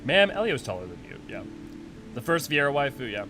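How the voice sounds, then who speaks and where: A man's voice: mid-range voice, big pitch swings, fairly quick, background noise. A man hosting a lore commentary, chatting casually, thinking aloud and reading descriptive text. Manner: chatty, thinks aloud with fillers and self-corrections. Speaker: lore channel host. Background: music.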